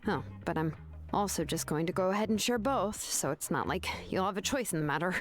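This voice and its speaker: A woman playing a frank, laid-back expert engraver in a video game, explaining in a measured, matter-of-fact way. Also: lowish voice, expressive, natural voice. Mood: frank, dry. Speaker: video game character voice actor. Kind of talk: scripted game dialogue. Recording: game audio with background music. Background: music.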